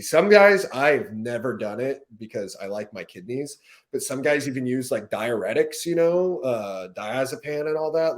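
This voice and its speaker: A man in his twenties, a guest on a casual podcast, talking in a candid, animated way full of quick asides. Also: medium pitch. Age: 20s.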